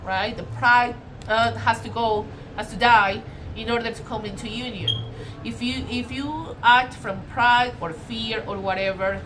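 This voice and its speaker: A woman with a Colombian Spanish accent talks through a tarot spread in a loose, chatty way, restarting words and circling back as she goes. Her voice sits a bit high. The recording is noisy, made at home on a built-in device mic. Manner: chatty, self-correcting, spiritual. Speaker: tarot reader. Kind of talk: casual tarot reading. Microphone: built-in device mic, no external microphone.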